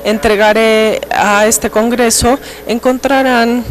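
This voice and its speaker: An interpreter carries an academic lecture in measured chunks, keeping a steady pace. The pitch stays flat with little movement. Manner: measured, even delivery in short phrases.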